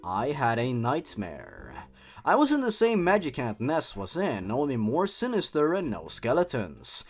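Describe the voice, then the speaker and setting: Animated, sardonic man narrating over music. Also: medium pitch, measured pace. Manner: theatrical, sardonic, exaggerated emphasis. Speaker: host of a horror story review channel. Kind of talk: scripted narration and review commentary. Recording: music behind the voice. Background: background music.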